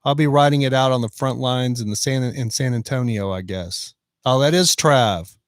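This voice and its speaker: A man's voice: mid-range voice, moderate pace, no echo, studio quality. A man in his fifties hosting a casual live stream, chatting and reading out viewer comments. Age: 50s. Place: van in the rain.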